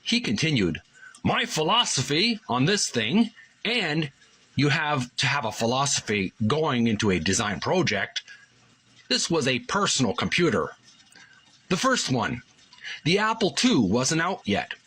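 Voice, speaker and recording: A man's voice: medium pitch, sing-song, unhurried, bright, forceful tone, husky. A man hosting his own channel, recovering from a cold and casually reading a book aloud, rambling with many fillers. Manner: rambling, filler-heavy, self-deprecating. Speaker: host.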